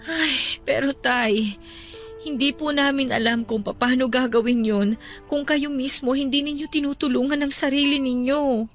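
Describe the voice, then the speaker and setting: An actress playing a gentle, respectful young adult daughter consoling her father in an emotional radio drama, earnest and pleading. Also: high-pitched, fairly even intonation, moderate pace, lightly acted. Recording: music under the voice. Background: music.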